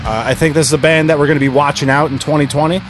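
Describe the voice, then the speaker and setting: A man's voice: fairly high pitch, fairly even intonation, rapid-fire. Casual male podcast host over music. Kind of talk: casual music review chat.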